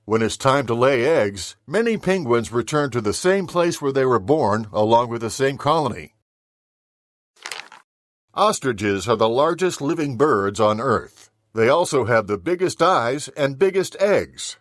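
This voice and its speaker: A man giving a measured, informative scripted reading of a nature book for children. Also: mid-range voice, lively intonation. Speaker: children's audiobook narrator. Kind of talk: scripted nature documentary-style narration for children.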